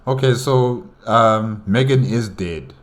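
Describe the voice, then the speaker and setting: A man's voice: fairly low pitch, takes it slowly. A man giving casual reaction commentary, thinking aloud in a hesitant way. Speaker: reaction video host.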